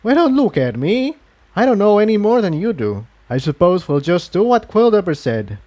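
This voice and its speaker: A man's voice: high-pitched, very animated, moderate pace, warm tone, pitched above the performer's own voice. A man voicing a frank, easygoing young male pony adventurer in a storybook, with a casual, slightly dismissive manner.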